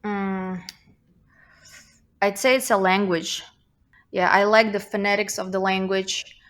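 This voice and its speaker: A woman's voice: medium pitch, fairly even intonation, takes it slowly, fairly bright tone. A young woman with a slight Russian accent, a guest talking thoughtfully in a casual interview, with many fillers and restarts. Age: young adult.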